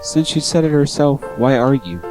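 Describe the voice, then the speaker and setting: Casting: man as composed, aloof teen boy with a cutting tongue; medium pitch, anime radio drama with music. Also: animated, normal speed, natural voice. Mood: matter-of-fact. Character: cool, reserved, sharp-tongued teenage boy. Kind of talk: amateur anime-style radio drama acting.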